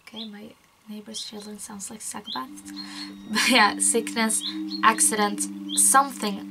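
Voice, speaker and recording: A woman's voice: medium pitch, fairly even intonation, a little slow, creaky, noisy background. A young woman from Sweden with a Swedish accent, rambling casually through a spooky tale in a vlog and dropping often into whispers. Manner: chatty, rambling, slips into whispers and giggles. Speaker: YouTuber. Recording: loud spooky background music.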